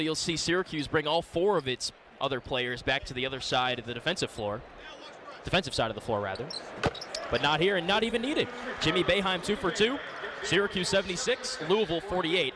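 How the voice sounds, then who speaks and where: A man calling a basketball game live on radio as play-by-play announcer, in clipped, descriptive phrases. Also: on the high side. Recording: loud arena noise behind the voice. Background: gym ambience, bouncing basketballs.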